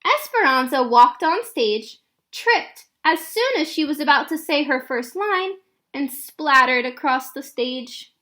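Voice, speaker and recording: High-pitched woman reading a children's story. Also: lively intonation, measured pace, sharp tone. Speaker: read-aloud narrator. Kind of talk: expressive children's picture book read-aloud.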